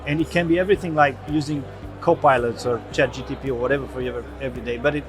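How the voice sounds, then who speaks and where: A man's voice: mid-range voice, fairly even intonation, fast, music in the background. A man in his fifties from Romania, an interview guest speaking earnestly and enthusiastically at a trade event, with small restarts. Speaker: interview guest, logistics executive. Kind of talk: conversational interview.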